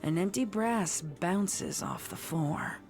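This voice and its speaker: A woman narrates dark horror fiction in a low voice. She takes it slowly and with suspense, measured from phrase to phrase, over a bed of music. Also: expressive. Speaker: story narrator. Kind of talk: dramatic scripted horror story narration.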